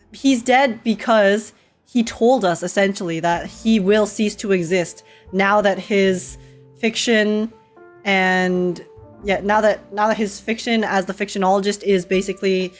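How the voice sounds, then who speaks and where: A young woman giving casual reaction commentary on a game story, thinking aloud in a chatty way. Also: medium pitch.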